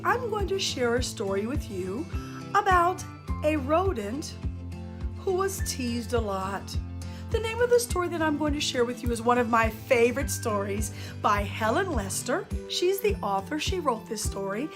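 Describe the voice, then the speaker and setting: Woman storyteller, high-pitched, slow pace, expressive, animated children's read-aloud. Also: over music. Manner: animated, dramatic emphasis. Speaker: storyteller and show host.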